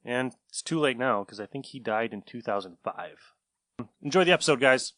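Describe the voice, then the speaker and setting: A man talks as a casual podcast host with a conversational, anecdotal delivery. His voice is of average pitch and is recorded dry, with little room sound. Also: expressive, normal speed, a bit bright, no room sound. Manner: informal, conversational.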